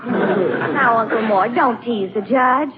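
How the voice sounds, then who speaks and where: A thin, faintly noisy vintage radio recording of an earnest young woman, the family niece. Her voice is high and lilting, with a polite but gently firm manner. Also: slow pace, highs cut off. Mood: earnest, gentle. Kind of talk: 1940s radio comedy acting.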